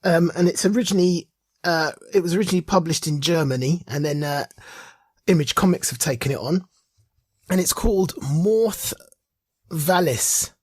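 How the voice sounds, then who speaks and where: A man with a husky, slightly rough voice co-hosts a podcast. He gives his opinions in casual chat, repeating phrases for emphasis. The sound is close and dry. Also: on the high side, moderate pace, no room sound. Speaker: podcast co-host. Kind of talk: casual chat and informal reviews.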